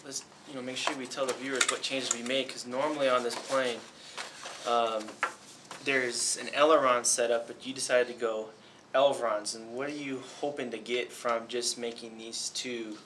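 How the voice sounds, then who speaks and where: A young man hosting a casual desk interview as a flying instructor, relaxed and encouraging, with lots of ums and uhs. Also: medium pitch, normal speed, slight background noise, rough recording. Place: small indoor studio set at a desk.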